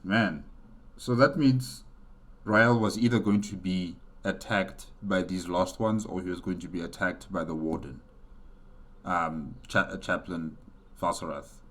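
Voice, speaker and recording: A man's voice: deep voice, unhurried, fairly bright tone. A man giving casual reaction commentary as host, musing and thinking aloud.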